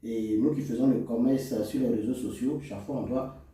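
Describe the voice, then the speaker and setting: A man's voice: mid-range voice, fairly even intonation, normal speed, mellow. A man giving an instructional product talk to camera as a seller, in a didactic way, repeating and listing his points. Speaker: cosmetics formulator and seller presenting to resellers. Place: echoey indoor room. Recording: very echoey room, distant microphone.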